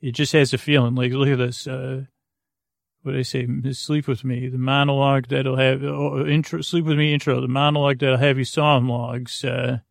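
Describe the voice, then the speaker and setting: A man with a gravelly, creaky voice in a dry, close recording. He hosts a bedtime podcast, meandering from tangent to tangent with hesitant restarts. The delivery is lulling and soothing, with very little rise or fall in pitch. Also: level tone, moderate pace, dry recording. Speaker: podcast host. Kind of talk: rambling bedtime monologue to lull listeners to sleep.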